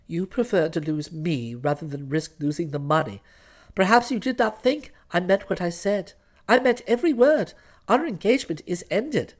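A man's voice: sits high, expressive, normal speed, voice pushed down. A man voicing a haughty, stern young English aristocratic woman in a storybook, cold, clipped and imperious. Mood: cold, severe.

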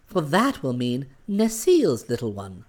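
A man's voice: mid-range voice, wildly swooping pitch, measured pace, darkish tone. A man voicing a gracious, playful female queen of the forest nymphs in a storybook, with a light, courtly manner.

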